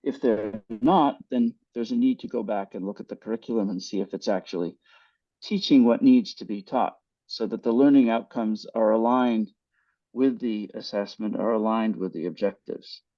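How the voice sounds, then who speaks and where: An older man on a headset video call, answering a webinar question in a thoughtful, measured way with frequent restarts. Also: on the low side, no room sound. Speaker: guest speaker. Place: home room with virtual background. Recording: dull, dry video call audio.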